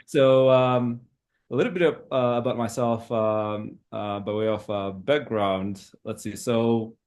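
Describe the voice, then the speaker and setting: A man from Zimbabwe in his thirties, a podcast guest who talks in a hesitant, reflective way with many pauses. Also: mid-range voice, fairly even intonation, darkish tone. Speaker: interview guest. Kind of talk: casual interview talk.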